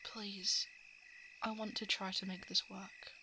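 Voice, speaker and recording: Woman acting as soft, hesitant shapeshifter posing as a crush, crickets behind. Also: mid-range voice, level tone, takes it slowly, noisy background. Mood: tender coaxing. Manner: soft, hesitant, often slipping into whispers. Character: shapeshifting monster posing as a lovelorn crush, coaxing. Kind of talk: ASMR roleplay, intimate confession. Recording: night insect and frog ambience. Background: crickets, frogs and insects.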